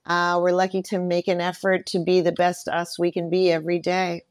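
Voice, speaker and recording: Low-voiced woman, earnest and close-up. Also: completely flat delivery, normal speed, no room sound. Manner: earnest, reflective, affirming. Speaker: podcast guest, performer and mentor. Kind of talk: casual interview conversation.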